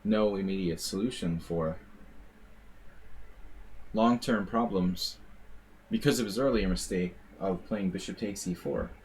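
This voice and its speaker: A man's voice: low-pitched, measured pace, long pauses, a little mellow, slight background noise, rough recording. An American man giving casual, rambling live commentary on his chess games, with wry asides and plenty of fillers. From United States. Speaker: chess streamer.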